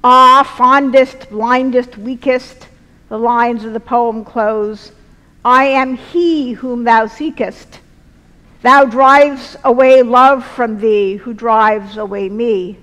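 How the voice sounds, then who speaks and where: A middle-aged woman delivers a sermon at a church lectern in slow, weighty phrases. Her voice sits fairly high, and a long church reverb trails behind every line. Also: steady tone, fairly bright tone. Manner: measured, earnest, slow deliberate phrasing. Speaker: preacher. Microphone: lectern mic, far camera.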